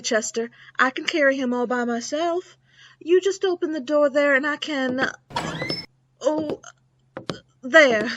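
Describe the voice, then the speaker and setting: A woman's voice: high voice, fairly bright tone, muffled recording, a little hiss or hum. A woman playing a gleefully morbid frontier doctor in a western radio drama, theatrical and relishing, with muttered asides. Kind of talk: old-time western radio drama acting.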